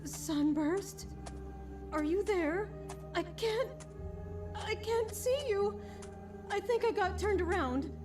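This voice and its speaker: A woman playing a confused, decaying pony in an audio drama, halting and forgetful as she speaks. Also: very high voice. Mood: anxious calling. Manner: halting, faltering, disoriented. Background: background music.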